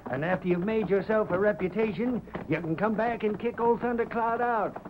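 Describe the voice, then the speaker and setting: An actor playing a crafty, malicious outlaw sidekick, egging others on in cowboy slang in a 1930s radio western. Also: male voice, high voice, moderate pace, lightly acted. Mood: crafty, goading. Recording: noisy, muffled old 1930s radio recording.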